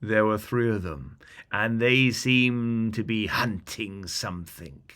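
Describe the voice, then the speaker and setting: A man narrating a scripted fantasy bedtime story as a storyteller, with dramatic, deliberate phrasing. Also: on the low side, a bit bright.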